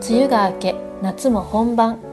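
A woman's voice: on the high side, measured pace, music in the background. A woman presenting a scripted radio segment in the style of an oracle's message.

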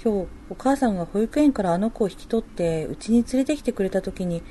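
A woman playing a working young mother and wife in a radio drama, talking softly and conversationally as she thinks aloud. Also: mid-range voice, animated, a little fast, a little hiss or hum, close to an everyday voice. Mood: concerned. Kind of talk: amateur radio drama dialogue.

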